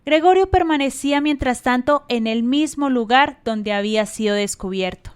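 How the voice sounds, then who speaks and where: Clear, high-voiced woman narrating a story. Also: normal speed. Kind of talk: scripted storytelling narration for radio drama.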